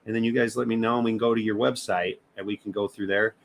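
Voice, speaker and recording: A man's voice: fairly low pitch, steady tone, fast talker, a little hiss or hum. A man hosting a casual podcast livestream, talking loosely and swearing freely as he thinks aloud. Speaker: podcast host. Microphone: remote stream setup.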